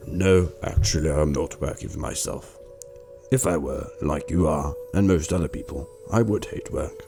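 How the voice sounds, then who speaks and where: Man acting a very deep, gravelly old farmer. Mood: earnest, patient. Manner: gentle, measured, thoughtful explaining. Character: kindly, patient, devout old farmer man. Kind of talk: amateur radio drama acting. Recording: music under the voice.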